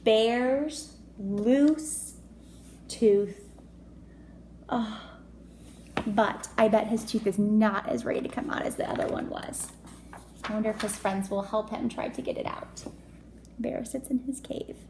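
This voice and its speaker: Slow-paced woman reading to children, echoey room. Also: a little high. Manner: expressive, child-directed, asking questions and commenting. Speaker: kindergarten teacher reading aloud. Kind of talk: storybook read-aloud with chatty asides to children. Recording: echoey room with faint noise.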